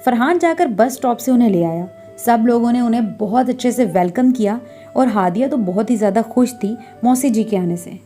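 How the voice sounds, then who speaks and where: A woman storyteller reads scripted narration in a high, mellow voice. Music plays beneath her as she tells a domestic tale. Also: expressive, brisk.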